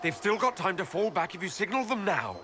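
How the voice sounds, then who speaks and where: Bright, expressive elven commander. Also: male voice, sits high, brisk, exaggerated voice. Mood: urgent, firm. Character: composed, caring male elf leader, Inquisitor of a fantasy army. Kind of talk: cinematic fantasy game dialogue. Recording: background noise.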